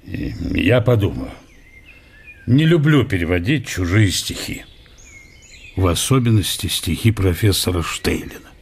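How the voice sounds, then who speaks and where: An actor playing a blunt, weary older scholar recovering from illness in a radio play, speaking in a measured way. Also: male voice, sits low, sing-song, creaky, breathy edge, full character voice. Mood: reluctant. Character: gruff, weary older scholar, unwell man.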